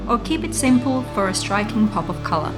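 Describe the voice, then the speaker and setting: A woman's voice: medium pitch, big pitch swings, fairly quick. A woman narrating a scripted craft tutorial as its host, giving step-by-step instructions. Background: music.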